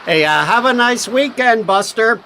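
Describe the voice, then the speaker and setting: Sardonic, lively police detective. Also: male voice, extremely high pitch, normal speed, a little hiss or hum, heavily acted. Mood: mocking. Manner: sarcastic, needling. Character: sardonic, skeptical male police detective, mocking and bossy. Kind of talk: old-time radio mystery acting.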